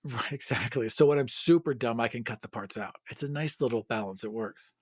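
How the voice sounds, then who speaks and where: A man's voice: medium pitch, brisk, breathy edge, dry recording, studio quality. A man chatting informally and agreeably as he brainstorms, a podcast co-host. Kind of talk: casual brainstorming chat.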